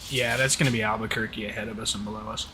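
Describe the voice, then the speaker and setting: A man's voice: fairly low pitch, very fast. A man from the southern United States chatting casually and teasingly while live-streaming a flight simulator. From southern United States (Oklahoma). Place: voice chat on a game stream. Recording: steady background noise.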